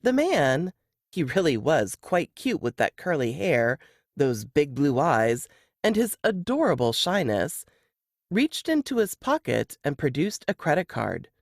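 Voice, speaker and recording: A woman's voice: low voice, sing-song, unhurried, no echo, clean studio sound. A woman reading a novel aloud as scripted third-person narration. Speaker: novelist reading their work.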